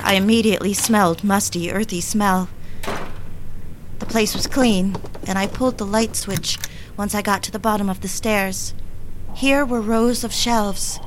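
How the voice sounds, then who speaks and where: A woman's voice: mid-range voice, lightly acted. An actress playing a lonely, emotional young woman, narrating and talking to herself in a theatrical audio drama style. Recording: clearly noisy recording with clicks.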